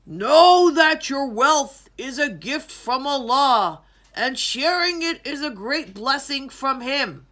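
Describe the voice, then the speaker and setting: A woman voicing a noble, commanding storybook king, proclaiming loudly to his people. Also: high-pitched, animated, slow pace, heavily acted. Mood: solemn, commanding. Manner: loud, proclaiming. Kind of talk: children's storybook character voice.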